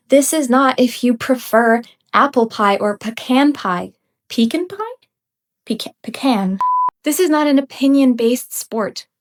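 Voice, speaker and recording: A young woman with a somewhat high voice delivers an unscripted rant as a podcast host. She talks bluntly and emphatically, with the odd laugh, and sounds slightly stuffy from a cold. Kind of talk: unscripted ranting commentary.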